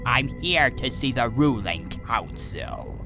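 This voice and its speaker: A stern alien general, voiced by a man, defiant and bitter, for a comic drama. His pitch swings widely as he gives orders, over loud music. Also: very animated, takes it slowly. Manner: stern, commanding. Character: battle-hardened alien general, defiant, bitter son of the emperor. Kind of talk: dramatic comic dub acting.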